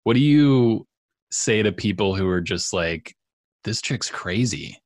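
A man's voice: lowish voice, expressive, measured pace, darkish tone, no room sound, studio quality. A man hosting a podcast interview, who laughs often. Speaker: podcast host.

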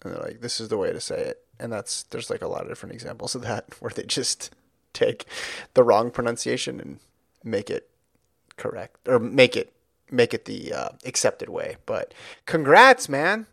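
Chatty man with a gravelly voice. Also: medium pitch, big pitch swings, moderate pace, fairly bright tone, no echo, clean studio sound. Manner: chatty, rambling, upbeat. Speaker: podcast host. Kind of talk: casual chat.

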